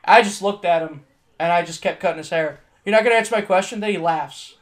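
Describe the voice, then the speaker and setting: A man's voice: high voice, fast talker. A young man with a Southern accent, casually telling stories as a podcast co-host, swearing, laughing and acting out his customers. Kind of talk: casual storytelling chat.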